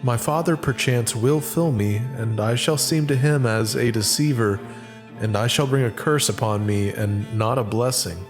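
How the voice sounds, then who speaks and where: An actor playing a hesitant, anxious younger son in a dramatized scripture reading, earnest in delivery. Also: male voice, mid-range voice, normal speed, close to an everyday voice. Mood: worried. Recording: music under the voice.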